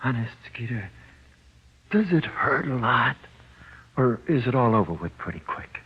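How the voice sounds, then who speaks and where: An actor playing a frightened, childlike condemned prisoner with slangy, uneducated speech in 1940s radio drama style. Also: male voice, mid-range voice, big pitch swings, unhurried, a little hiss or hum. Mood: fearful, pleading. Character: frightened, childlike condemned male convict awaiting execution. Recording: muffled, dull old radio recording.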